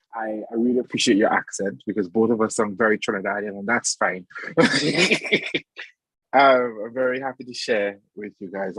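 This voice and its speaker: A man's voice: fairly low pitch, fairly bright tone, no room sound, poor audio. A man on a late-night video call panel, speaking earnestly and reflectively, a little sleepy. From Saint Vincent. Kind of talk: online panel discussion.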